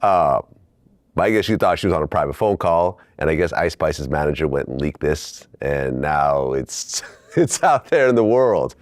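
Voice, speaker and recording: A man's voice: a bass voice, lively intonation, normal speed, no room sound. A man hosting a casual interview, laying out the background in a conversational way. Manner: conversational, lays out background before asking. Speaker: interviewer, host.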